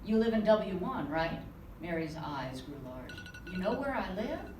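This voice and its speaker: A woman author reading aloud from her novel to a live audience, giving the dialogue a lively touch. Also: on the low side, measured pace. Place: conference room. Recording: roomy, distant with background noise.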